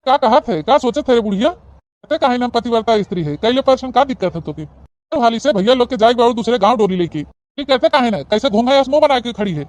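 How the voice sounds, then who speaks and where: A man's voice: extremely high pitch, animated, brisk. A grumbling old father-in-law from a village cartoon, acted by a man. He is bossy and blustery, scolding everyone in a rustic Awadhi accent. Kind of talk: Awadhi comedy cartoon dubbing.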